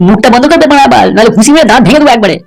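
Brash, scolding cartoon thug done by a voice actor, mid-to-high in pitch, breakneck delivery, music underneath. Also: expressive, lightly acted, rough recording. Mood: scolding, threatening. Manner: brash, scolding, rapid-fire. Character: coarse, excitable male cartoon village thugs and henchmen. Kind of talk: Bengali comedy cartoon voice acting. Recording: music behind the voice.

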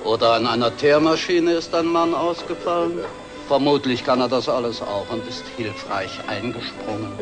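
Casting: man to play dry, sarcastic society gentleman, mid-range voice, vintage TV drama. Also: medium pitch, moderate pace, music in the background, close to an everyday voice. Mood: sarcastic, impatient. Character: sarcastic, impatient gentleman at a formal dinner. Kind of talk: scripted television drama dialogue.

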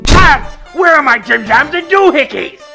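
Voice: high-pitched, animated, moderate pace, creaky. A performer voicing an eccentric, manic male skeleton scientist, theatrical and erratic, in a comedic comic dub. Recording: echoey, music behind the voice.

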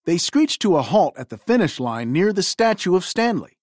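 A woman reading a children's storybook aloud in an expressive, theatrical way. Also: fairly low pitch, moderate pace, no echo, studio quality. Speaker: storybook reader.

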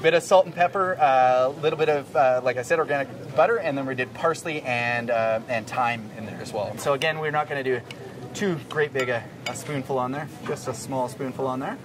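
A fast-talking man in his thirties demonstrates a recipe with an easygoing, joking manner. He is picked up from a little distance on a lapel mic, with clear noise from a busy grocery store behind him. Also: medium pitch. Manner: easygoing, laughs often, calls guest "man". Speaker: chef and cooking segment host. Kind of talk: casual cooking demonstration chat. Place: indoors in a large grocery store.

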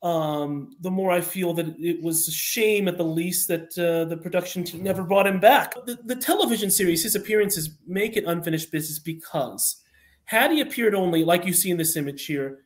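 A man answering as a guest writer on an online call, earnestly telling how things came about, halting and correcting himself. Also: sits high. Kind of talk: interview answers, storytelling.

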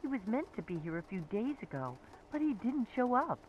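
A woman's voice: a little high, very animated, fairly quick, husky, no echo, highs cut off. A woman playing an anxious, urgent mother calling the police in a video game. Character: panicked mother calling police about violent husband.

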